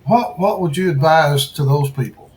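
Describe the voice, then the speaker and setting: Encouraging male interviewer with a higher voice. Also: normal speed, poor audio. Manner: short, encouraging replies and brief questions. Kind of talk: casual interview chat.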